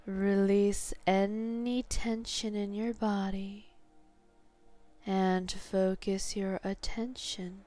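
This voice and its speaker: A woman hosting a guided sleep hypnosis session, speaking softly and soothingly, often in a near whisper. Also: mid-range voice, level tone, soft background music. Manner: soft, slow, soothing, partly whispered. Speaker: hypnotherapist host.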